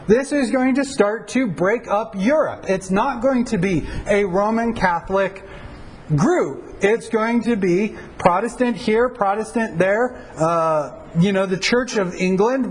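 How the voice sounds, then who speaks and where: A pastor teaching church history to a class, in a conversational lecture full of rhetorical questions and fillers. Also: male voice, high-pitched, a little hiss or hum, poor audio. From United States.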